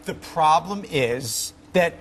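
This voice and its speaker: A man's voice: a little high, expressive, slow pace, a bit bright, slight background noise. A man arguing as a defense attorney on a live TV panel, insistent and repeating points for emphasis. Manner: insistent, argumentative, repetitive for emphasis. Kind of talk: live TV legal debate.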